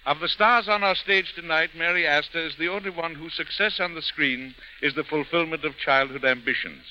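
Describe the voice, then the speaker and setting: A man's voice: fairly high pitch, a little fast. A man presenting and narrating a scripted 1930s radio programme in a formal, theatrical way. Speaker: guest host and narrator. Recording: muffled 1930s radio broadcast.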